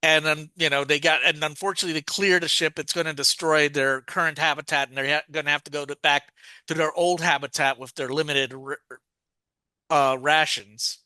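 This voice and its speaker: A man's voice: a little high, steady tone, brisk, pushed and bright, clear, resonant tone, no room sound. A man co-hosting a podcast, recapping a story in a rambling, casual way with many restarts and fillers. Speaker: podcast co-host.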